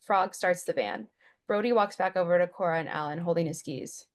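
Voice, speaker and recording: A woman's voice: fairly low pitch, brisk. A woman reading scripted stage directions as the narrator of a table read.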